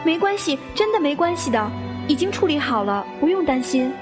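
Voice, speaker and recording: A woman playing a gentle, reassuring hospital nurse in an audio drama. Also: high-pitched, sing-song, a little fast, over music. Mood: soothing. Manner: gentle, coaxing. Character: young female hospital nurse, soothing and reassuring.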